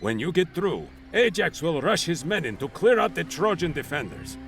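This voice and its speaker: A man's voice: on the high side, very animated, moderate pace, fairly bright tone, soft background music. An actor playing a grandiose Greek king and army commander in a video game, imperious, pompous and theatrical. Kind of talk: video game cutscene acting.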